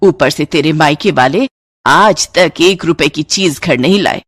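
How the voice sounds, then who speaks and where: A woman playing a stingy, bitter middle-aged mother-in-law with a husky voice and a carping, sarcastic manner in a moral story. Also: sits low, measured pace, no echo. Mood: scolding.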